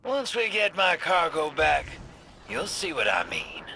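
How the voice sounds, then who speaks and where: A man's voice: on the high side, huge pitch swings, a little slow, gravelly, very noisy, full character voice. An actor voicing a cocky, wisecracking male space smuggler with a sardonic, drawling, slangy delivery in a video game. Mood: wry.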